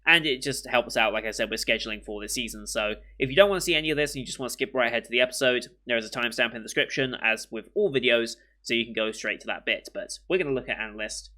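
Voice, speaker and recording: A young British man talks casually to his viewers with a bright, forward voice and a slight sniffle from a coming cold. He rambles, backtracks and fills gaps with ums as he thinks aloud. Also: mid-range voice, fast, few pauses. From Britain. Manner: rambling, with many ums and restarts. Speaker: anime reaction host. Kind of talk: casual chat to camera.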